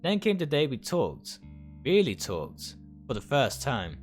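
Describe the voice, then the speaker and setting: A man reading first-person game narration aloud dramatically, in short, deliberate phrases. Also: medium pitch, wildly swooping pitch. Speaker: game streamer reading a visual novel aloud. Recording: music behind the voice.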